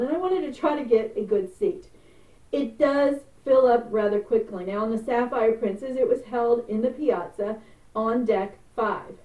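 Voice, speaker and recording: A middle-aged woman talks through a travel vlog in a friendly, chatty way, explaining each step. Her voice sits a little high and comes across thin, like over a phone line. It also sounds distant from the mic, in a roomy space. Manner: chatty, gestures with hands while explaining. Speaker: travel vlogger. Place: small indoor room before a purple curtain.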